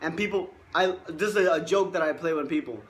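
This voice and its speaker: A man's voice: a little high, brisk. A man in his twenties, a life coach giving a boastful, emphatic motivational monologue and repeating himself for stress. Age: 20s.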